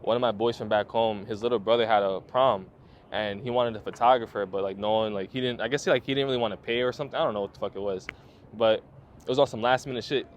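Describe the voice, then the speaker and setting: A man's voice: fairly low pitch, steady tone, quick pace, lo-fi sound, slight background noise. A man in his twenties, a photographer, telling his story in a laid-back interview with lots of slang, fillers and swearing. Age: 20s. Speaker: photographer guest.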